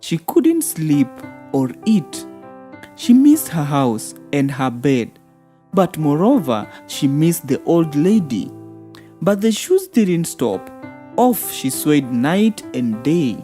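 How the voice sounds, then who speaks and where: A woman's voice: sits low, very animated, slow. A woman narrating a scripted fairy tale for children as a storyteller. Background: soft background music.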